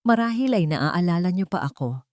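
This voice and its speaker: A female narrator plays a cold, self-assured businesswoman back for revenge in an audio drama. She speaks calmly and deliberately, with a dry, close sound. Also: fairly low pitch, dry recording, lightly acted. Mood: cold, composed.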